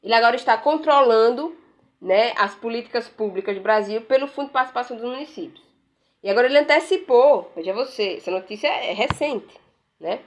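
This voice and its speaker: A woman's voice: fairly high pitch, brisk. A woman giving casual political commentary while browsing pages on her screen, sarcastic and rambling, tagging sentences with questions. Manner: frequent "né?" tags, rambling, sarcastic.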